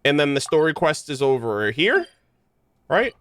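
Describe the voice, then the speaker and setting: A man's voice: on the high side, big pitch swings, unhurried, fairly bright tone, no echo, clean studio sound. A man live streaming a game, chatting informally and jokingly with his viewers in slangy language. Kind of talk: casual game streaming chat.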